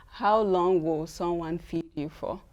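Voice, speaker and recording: A woman's voice: on the low side, measured pace, a little mellow, lo-fi sound. A woman guest on a TV talk show, speaking earnestly with hesitant fillers. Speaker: guest, business founder. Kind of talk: TV studio interview.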